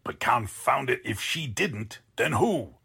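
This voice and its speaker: An actor playing a sarcastic, blustering newspaper editor in a 1940s-style radio drama, with a husky voice. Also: male voice, mid-range voice, lively intonation, slow, background noise. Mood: exasperated, scolding. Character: exasperated, sarcastic male newspaper editor boss.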